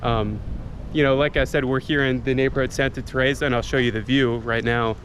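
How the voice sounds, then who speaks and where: A man hosting a travel vlog, with an ordinary middle-pitched voice. He talks casually and loosely, full of fillers, as he questions his guide. He records outdoors in the city, with plain street noise behind him. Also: steady tone, fairly quick, a bit bright, poor audio. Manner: casual, filler-heavy, interviewing. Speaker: travel vlogger.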